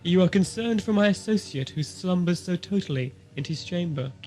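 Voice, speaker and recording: A man playing a haughty alien ambassador, higher-voiced. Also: lively intonation, a little mellow, soft background music. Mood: cool, formal. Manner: formal, stately, measured. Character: haughty alien Draconian ambassador, formal and proud. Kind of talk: amateur audio drama acting.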